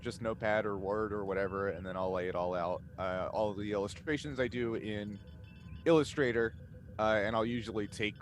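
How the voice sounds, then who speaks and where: A man chatting casually in a group as a cast member answering fan questions, telling things in an animated, informal way. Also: on the low side, brisk, slight background noise.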